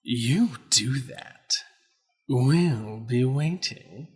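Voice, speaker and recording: Man playing a husky, slow, taunting villain. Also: medium pitch, expressive, heavily acted. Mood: gloating menace. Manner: mock-courteous, menacing, savoring threats. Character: taunting, falsely polite villain who controls a deadly elemental. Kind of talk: audio drama villain acting.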